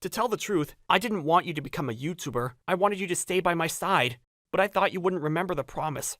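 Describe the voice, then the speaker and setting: A woman voicing an earnest, protective young male baker in a manga dub, sincere and steady. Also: lowish voice, quick pace, no echo, clean studio sound. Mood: tender, earnest. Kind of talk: manga dub dialogue and narration.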